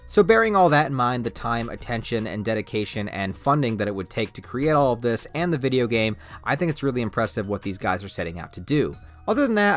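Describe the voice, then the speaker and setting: A man's voice: medium pitch, fairly bright tone. A man hosting a YouTube channel, giving an informal, encouraging scripted promo narration. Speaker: YouTube reviewer and channel host. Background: faint music.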